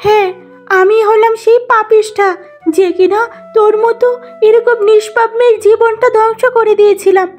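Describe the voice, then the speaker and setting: A woman's voice: extremely high pitch, flat delivery, normal speed, a little mellow, faint music behind, voice pushed up. A woman voicing a sorrowful, repentant witch who pleads in a cartoon fairy tale. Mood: repentant, tender.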